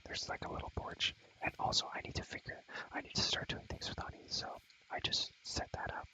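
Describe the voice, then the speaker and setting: A young man whispering in a breathy voice for ASMR, rambling casually in slang as he shows a game world and softly repeats his phrases. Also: a little fast, poor audio.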